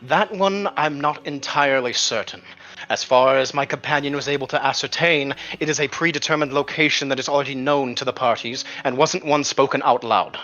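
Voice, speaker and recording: A man's voice: mid-range voice, a little fast, sharp tone, muffled recording, faint music behind. A man voicing a cautious, formal informant in a fantasy tabletop mystery, choosing his words hesitantly and wordily. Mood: guarded, earnest. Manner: hesitant, wordy, formal phrasing. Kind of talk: improvised tabletop roleplay.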